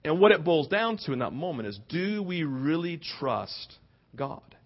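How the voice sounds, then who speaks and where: A man's voice: a little high, sing-song, unhurried, lo-fi sound. A man preaching a conversational sermon, earnest and informal, with rhetorical questions, tag questions and bits of acted-out dialogue. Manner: acts out imagined dialogue informally.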